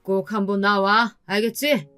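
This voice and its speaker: A woman's voice: medium pitch, steady tone, a little slow, sharp tone, clear, resonant tone, faint music behind. A woman voicing a condescending, overly familiar middle-aged male company boss in a radio-style story. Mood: smug, presumptuous. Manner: smug, presumptuous, talking down.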